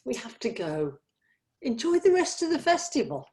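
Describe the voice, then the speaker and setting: An actress playing a genteel, condescending devotee, polite and patronising, in a comedy script read. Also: female voice, fairly high pitch, big pitch swings, normal speed, warm tone. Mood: politely awkward.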